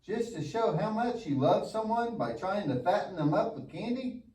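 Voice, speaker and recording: A man's voice: sits high, expressive, moderate pace, a little mellow, a little hiss or hum. A man playing a goofy, lazy, mischievous young boy puppet in a church show, with an exaggerated, wisecracking delivery. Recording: echoey room, distant mic.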